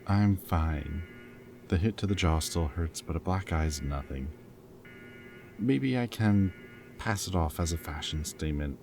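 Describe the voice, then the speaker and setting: A man's voice: low-pitched, measured pace. A man playing a goofy, flirty, protective rebel captive in a dystopian roleplay audio drama, with a wry, intimate way of talking. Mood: playful, flirty. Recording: background music, loud ambient noise in places.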